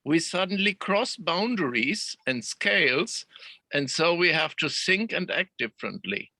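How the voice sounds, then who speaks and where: A man in a group discussion who speaks deliberately and pauses often with fillers. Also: a little high, lively intonation, pushed and bright, no echo.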